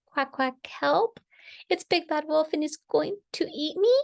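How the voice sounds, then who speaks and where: Lady as a tiny scared baby duck boy, high little voice, whimpery and pleading, kids story. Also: animated, very slow, vocal fry, pushed and bright, exaggerated voice. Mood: frightened, tearful. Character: small, sad, frightened lost male duckling.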